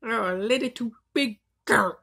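A woman's voice: a little high, a little slow, gravelly, pushed and bright, husky, heavily acted. A woman voicing a vain, hot-tempered rival sorceress in an amateur anime fandub, haughty and theatrical.

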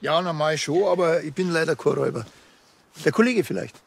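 Gravelly deadpan policeman. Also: a little high, brisk. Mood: grumpy. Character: grumbly, deadpan veteran policeman. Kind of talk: naturalistic comic radio drama dialogue.

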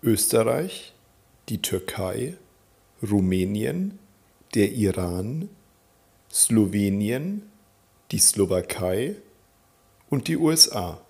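A man teaching a German lesson, calmly naming countries and repeating example sentences for learners to follow. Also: fairly low pitch, slow, vocal fry, long pauses, husky. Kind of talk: slow, clear teaching with drill repetitions.

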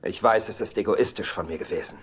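A man's voice: low-pitched, fast talker, husky. Sardonic bachelor in his forties. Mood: sarcastic, guarded. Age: 40s. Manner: dry, sardonic. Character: cynical, sarcastic bachelor son in his forties. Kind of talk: German-dubbed sitcom dialogue. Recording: TV soundtrack with faint noise.

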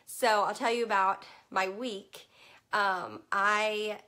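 A woman's voice: mid-range voice, steady tone, slow, pushed and bright. A young woman talks casually into a webcam from a room at home lined with bookshelves. Her voice is wearing thin from allergies, and she rambles in a friendly, upbeat way full of "um"s and little asides. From Virginia. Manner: chatty and upbeat, many "um"s, greets viewers by name. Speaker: children's book consultant and host. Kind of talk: casual chat to a live group. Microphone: webcam at desk distance.

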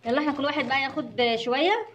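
A woman's voice: sits high, very fast, a bit bright, slight background noise. A woman with an Egyptian accent casually narrating an unboxing for children. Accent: Egyptian Arabic. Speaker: host of a children's toy channel.

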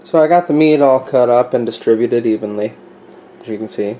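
A man's voice: medium pitch, brisk, dark tone. A man casually narrating his meal prep at home, explaining each step as he cooks at the stove. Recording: echoey room with clear kitchen noise. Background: sizzling pan and stirring.

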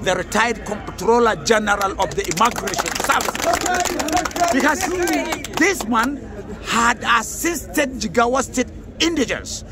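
A man's voice: extremely high pitch, sing-song, measured pace, very noisy. A man with a Nigerian accent, a political activist giving emphatic, grandiose street-interview answers outdoors. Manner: emphatic, grandiose, repeats himself. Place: outdoors on an urban street near traffic.

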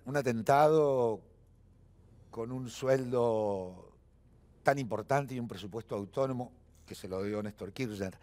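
A man's voice: mid-range voice, a little mellow. A man in his seventies with an Argentine accent, a guest in a television studio interview, talking emphatically with frequent fillers and restarts. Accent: Argentine Spanish. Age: 70s.

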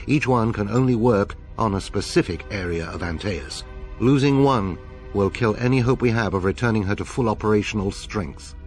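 An actor playing a grave, battle-hardened military commander in a video game, speaking in a measured, serious way. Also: male voice, on the low side, soft background music, lightly acted. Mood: stern briefing. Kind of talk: dramatic video game voice acting.

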